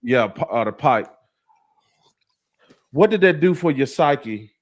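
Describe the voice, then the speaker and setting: A man in his forties with a middle-pitched voice hosts a relaxed interview. He asks questions in an easy, reflective way and lets the talk wander. He keeps his tone conversational. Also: takes it slowly. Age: 40s.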